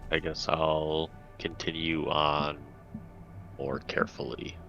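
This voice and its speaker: A man's voice: a bass voice, completely flat delivery, slow, pushed and bright, highs cut off, faint music behind. A man playing a tabletop roleplaying game, casually stating what his character does.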